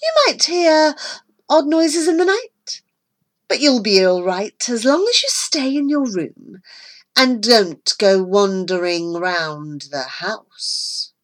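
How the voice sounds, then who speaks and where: A woman's voice: mid-range voice, animated, takes it slowly. A woman reading aloud and voicing a harsh, bossy woman who snaps and threatens. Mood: menacing. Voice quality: sharp, snapping, menacing.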